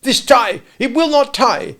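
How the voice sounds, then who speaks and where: A man reading a storybook and voicing a pompous, melodramatic middle-aged father who rants loudly and sarcastically. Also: high voice, lively intonation, normal speed, pitched above the performer's own voice. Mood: sarcastic ranting. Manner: loud, sarcastic ranting.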